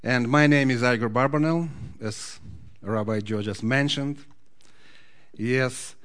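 A man's voice: mid-range voice, takes it slowly, a bit bright. Man with an Odessa accent. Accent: Ukrainian. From Odessa, Ukraine. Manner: friendly, asks the audience questions, sings a short Hebrew song. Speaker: guest speaker. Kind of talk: sermon talk to a congregation.

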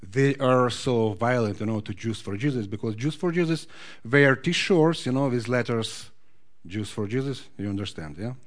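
A man with a Ukrainian accent, at an ordinary mid pitch, speaks to a congregation as guest speaker. He is friendly and keeps turning to the room with questions. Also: animated, normal speed. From Odessa, Ukraine. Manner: friendly, asks the audience questions, sings a short Hebrew song. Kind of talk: sermon talk to a congregation.

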